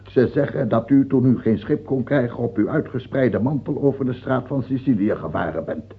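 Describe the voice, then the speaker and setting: Gravelly, husky, pompous king. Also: mid-range voice, moderate pace. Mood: insistent. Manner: imperious, pompous, swinging between proclaiming and muttering. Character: stubborn, self-important king terrified of dying. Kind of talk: radio play acting. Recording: tinny, band-limited old radio play.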